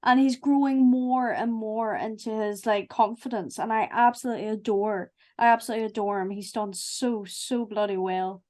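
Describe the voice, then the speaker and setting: Female podcast guest, fairly high voice, casual, emphatic and gushing conversational delivery. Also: steady tone, moderate pace, hardly any pauses, mellow. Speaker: podcast guest, producer and director. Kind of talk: casual chat.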